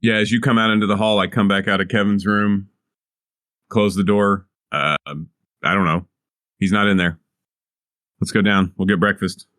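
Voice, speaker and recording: A man's voice: on the low side, fairly even intonation, normal speed, long pauses, fairly bright tone, dry recording, studio quality. A man playing a tabletop game, talking casually in and out of character. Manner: easygoing, casual in-character talk. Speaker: player.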